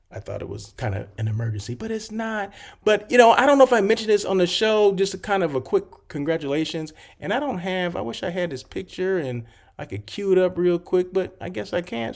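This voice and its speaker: A man with a fairly high, gravelly voice hosts a loose live stream, drifting from one aside to the next. He sounds a little froggy and hoarse, and his talk is full of fillers. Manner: rambling, chatty, many fillers and asides. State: slightly hoarse, clearing throat. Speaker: hotel consultant hosting a live show. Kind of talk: casual livestream chat.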